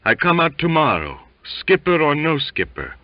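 A man voicing an ailing, stubborn sailor in a halting, gasping mumble for a storybook. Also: a little high, wildly swooping pitch, no echo, lo-fi sound, voice pushed up. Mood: labored, defiant.

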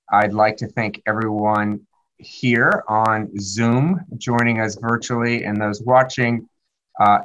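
Halting, lowish-voiced American chairman. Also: fairly bright tone, low-quality recording. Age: middle-aged. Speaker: committee chair opening a hearing. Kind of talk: formal introductions read from notes. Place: indoor office, on a video call.

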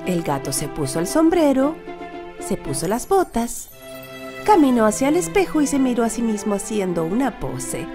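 A woman reading a children's storybook as narrator, with expressive scripted delivery. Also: fairly high pitch, moderate pace. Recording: music behind the voice.

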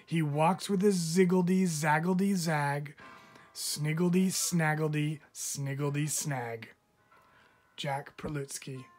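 A man, a teacher, reading children's animal poems aloud in a measured, expressive storytelling manner. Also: on the high side, faint music behind.

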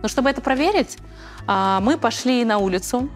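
A woman's voice: on the high side, expressive, a little fast, soft background music. Young woman hosting a studio interview. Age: young adult. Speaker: interview host. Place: dark indoor studio set, seated in armchair with tablet.

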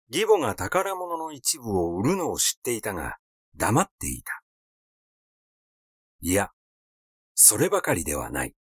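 Man reading a story, lively intonation. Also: mid-range voice, slightly rough, no echo, clean studio sound. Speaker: audiobook narrator. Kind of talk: literary story reading, scripted narration.

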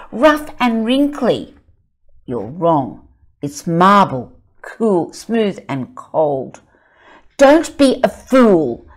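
An older woman, the author, reading her picture book aloud and talking about it in an expressive, dramatic storytelling manner, sometimes breathy. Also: fairly low pitch. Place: indoor studio set, seated in armchair.

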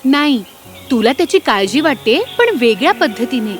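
A woman voicing a sweet, curious young shepherdess princess in an animated fairy tale, in an open, gently cheerful manner. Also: on the high side, normal speed, faint music behind. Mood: friendly, curious.

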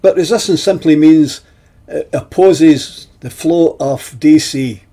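A man in his seventies giving an explanatory technical lesson in a deliberate, halting way, with pauses between phrases and a husky voice. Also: on the high side, dark tone. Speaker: presenter of an instructional video.

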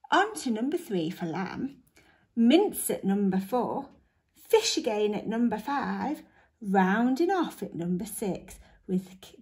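A woman reads a children's storybook aloud in the manner of a primary school teacher. Her pitch is middling but swings widely for expression. She takes her time, stressing key words and leaving dramatic pauses. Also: a little mellow. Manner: deliberate, emphatic phrasing with dramatic pauses.

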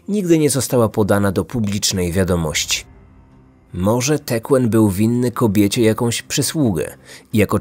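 A man's voice: on the low side, darkish tone. A man in his thirties reading scripted true-crime narration as a podcast host.

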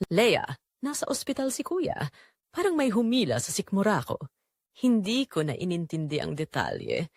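A woman's voice: mid-range voice, no room sound, clean studio sound. A young woman with a Filipino accent narrating a scripted romantic drama in the first person, earnest and reflective.

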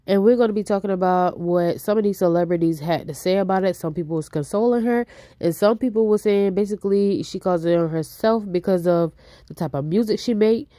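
A woman hosting a casual celebrity gossip channel, talking fast with a stuffy nose and a bit out of breath. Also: mid-range voice, dark tone, no echo, clean studio sound. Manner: chatty, fast, reads out posts. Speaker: YouTube host.

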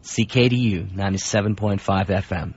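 A man's voice: low voice, brisk, darkish tone, husky. A man hosting a radio show, casually introducing programs. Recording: slightly dull radio recording.

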